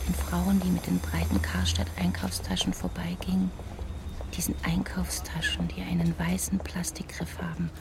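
An actress voicing a wistful, observant woman narrator in a hushed, precise literary radio play monologue. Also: on the low side, vocal fry. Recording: music under the voice.

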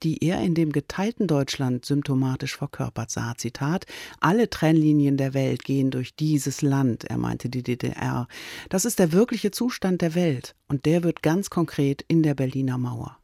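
A man's voice: medium pitch, mellow, no room sound, studio quality. A man hosting a radio drama programme, reading a scripted introduction.

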